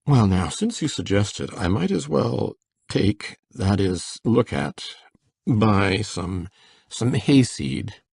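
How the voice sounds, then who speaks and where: A man playing a shy, flustered, gentle middle-aged country bachelor farmer in an audiobook drama, gravelly and stammering. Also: lowish voice, very animated, takes it slowly, no room sound, full character voice. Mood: flustered, hesitant. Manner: stammering, hesitant.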